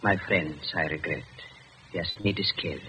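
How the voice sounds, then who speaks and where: An actor playing a weary, regretful older German doctor in an old radio drama, with a German accent and halting, formal phrasing. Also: male voice, a bass voice, bright, forceful tone. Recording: dull, noisy old radio broadcast.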